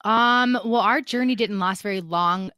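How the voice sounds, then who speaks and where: A woman's voice: medium pitch, unhurried, sharp tone, clear, resonant tone, no echo, clean studio sound. A young woman hosting a casual podcast, upbeat and matter-of-fact. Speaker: podcast host. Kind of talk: casual chat.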